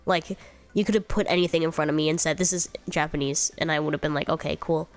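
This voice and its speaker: Stuffy-nosed young woman on stream, rambly and giggly, lowish voice, quiet music behind. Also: steady tone, fast talker. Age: young adult. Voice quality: slightly congested voice. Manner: rambling, giggly, lots of fillers and restarts. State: congested, sick with a cough. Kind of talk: casual chat reading viewer comments.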